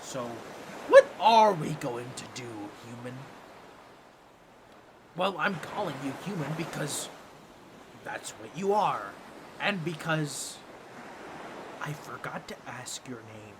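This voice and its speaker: A man's voice: on the high side, lively intonation, takes it slowly, long pauses, noisy background. A man voicing a boastful, scheming octopus merman with a grating, nasal, squawky voice and a pompous, awkward manner in a comedic audio roleplay. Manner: theatrical, pompous, fumbling with awkward asides.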